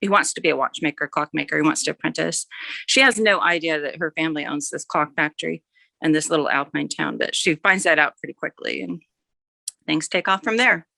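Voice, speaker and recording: A woman's voice: sits low, fairly quick, creaky, bright, forceful tone, no room sound. A mature woman chatting casually as an author guest, rambling a little and correcting herself as she goes. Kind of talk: casual interview chat.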